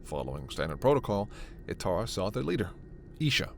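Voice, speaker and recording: A man playing a gentle, earnest alien scientist in a sci-fi ASMR roleplay, speaking in a measured, hesitant, soft way. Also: on the low side, big pitch swings, vocal fry, slight background noise. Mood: solemn. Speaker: voice actor.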